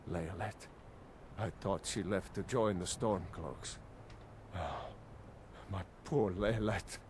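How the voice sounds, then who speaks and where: An actor playing a grieving, disbelieving village husband in a fantasy video game, speaking in a halting, dramatic way. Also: male voice, sits low, noisy background. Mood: mournful.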